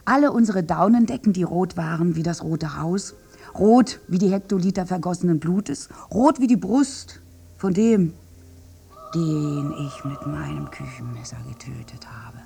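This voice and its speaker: A woman's voice: on the low side, animated, a little slow, gravelly, warm tone, husky, heavily acted. An actress playing a bitter, wounded village woman who survived a massacre, in a theatrical, morbidly wry radio drama monologue. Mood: wistful, dramatic.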